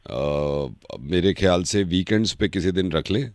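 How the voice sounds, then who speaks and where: A man voicing a genial middle-aged father on a prank call, halting and avuncular as he talks. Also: low-pitched, a little mellow, no room sound. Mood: genial, hesitant. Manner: slow, halting, chuckling, avuncular. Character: genial, hesitant middle-aged father seeking a match for daughter.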